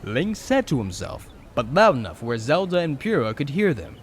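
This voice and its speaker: A man's voice: medium pitch, big pitch swings, normal speed, slight background noise. A man giving dramatic scripted narration of a story.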